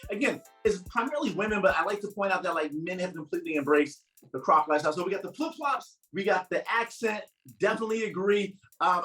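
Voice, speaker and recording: A man's voice: high voice, a bit bright. A man interviewing a guest in a casual, rambling way, full of fillers and eager asides. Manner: rambling, filler-heavy, enthusiastic. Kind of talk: casual interview over a call. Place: home in northern New Jersey.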